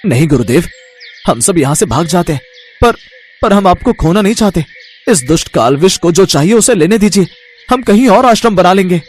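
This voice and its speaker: A man's voice: high-pitched, animated, normal speed, a little hiss or hum, pitched above the performer's own voice. A man voicing a young male disciple in a storybook tale, devoted, anxious and brave, with an eager, respectful, pleading manner. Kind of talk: animated storybook character acting.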